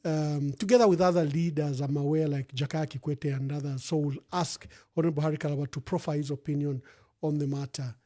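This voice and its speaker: Mellow man hosting a phone-in. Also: on the high side, fairly even intonation, a little fast. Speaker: radio call-in show host.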